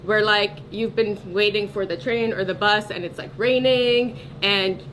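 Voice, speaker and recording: A woman's voice: medium pitch, steady tone, a little slow, a bit bright, poor audio. A woman speaking as a political candidate in a casual, earnest, emphatic interview style. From New York City. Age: 30s or older. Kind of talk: casual conversational advocacy. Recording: faint background ambient noise.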